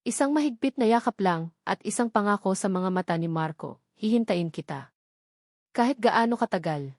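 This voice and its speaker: Woman, Filipino accent, mid-pitched voice, scripted romance storytelling, dry close recording. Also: a little mellow, dry recording. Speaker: audiobook narrator.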